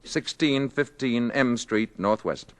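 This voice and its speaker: An actor in a 1950s radio drama playing a calm, courteous, quietly confident mysterious man with measured, polite speech. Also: mid-range voice. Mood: calm, assured. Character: calm, courteous spaceman posing as Mister Carpenter, quietly confident. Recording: vintage 1950s radio broadcast recording.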